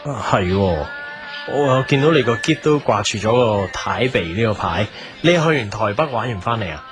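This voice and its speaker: An actor with a Hong Kong Cantonese accent playing a friendly, chatty young café owner in a radio drama, easily flustered. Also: male voice, fairly low pitch, expressive, moderate pace, close to an everyday voice. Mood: eager, chatty. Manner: chatty, eager, stammers when flustered. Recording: music behind the voice.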